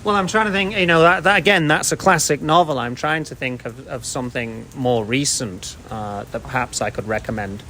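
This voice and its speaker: A man's voice: medium pitch, a little fast, few pauses, sharp tone, a little hiss or hum. A middle-aged British man giving thoughtful, explanatory answers in a conversational interview. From United Kingdom.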